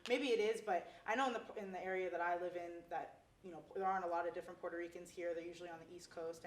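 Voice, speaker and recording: A woman's voice: on the low side, a bit bright. A nineteen-year-old woman from California talking earnestly in a casual panel discussion, restarting her sentences as she thinks aloud. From Torrance, California. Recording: roomy, distant recording.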